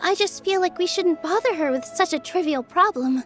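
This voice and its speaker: Soft shy young dancer girl, high sweet voice, kinda unsure of herself, game music behind. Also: normal speed. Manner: soft-spoken and self-doubting. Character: gentle, shy young female dancer, earnest and kind. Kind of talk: video game voice acting.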